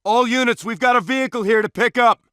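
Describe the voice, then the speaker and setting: A man's voice: very high voice, quick pace, pushed and bright, clear, resonant tone, no echo, studio quality. An actor playing a tough male SWAT police officer in a video game, giving clipped, commanding tactical call-outs. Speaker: video game player-character voice. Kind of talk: scripted tactical barks and radio call-outs.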